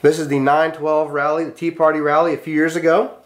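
A man's voice: a little high, level tone, fairly quick. An American man teaching a class, giving a storytelling lecture in an earnest, emphatic manner with short declarative sentences.

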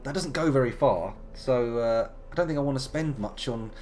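A man in his thirties, gravelly from a slight cold, chatting playfully through a live game stream. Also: medium pitch, moderate pace, a little mellow, faint music behind. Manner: chatty, playful, wandering asides. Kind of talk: casual live game commentary.

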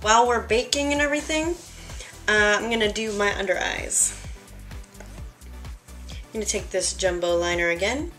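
A young woman with a slightly raspy, tired voice, chatting casually through a makeup tutorial in her bedroom while she works, a little self-deprecating. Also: medium pitch, normal speed, pauses often, a bit bright, soft background music. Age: young adult. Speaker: beauty vlogger. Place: bedroom, indoors. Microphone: camera in front at close range.

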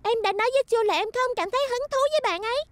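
A woman's voice: very high voice, animated, very fast. A woman voicing a cute, proud and sulky little sister in a cartoon dub, with a southern Vietnamese accent. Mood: cool, proud. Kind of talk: animated cartoon dub acting.

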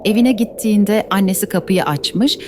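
A woman's voice: medium pitch, moderate pace. A woman narrating a scripted children's story as the storyteller. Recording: faint background noise.

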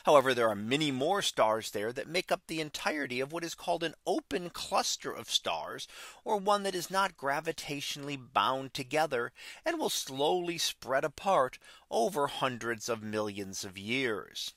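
A man's voice: mid-range voice, expressive, moderate pace, a bit bright, no echo. A man hosting a podcast, patiently explaining the sky in an image with a few fillers and restarts, voice-over on a close mic. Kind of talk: unscripted explanatory commentary.

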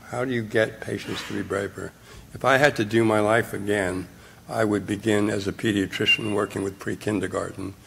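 An older man with a gravelly, fairly low voice gives a conference keynote from behind a podium. He talks bluntly and wryly in short, punchy phrases. A faint hiss of room noise sits under the recording. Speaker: keynote speaker. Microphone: podium mic, filmed from the audience.

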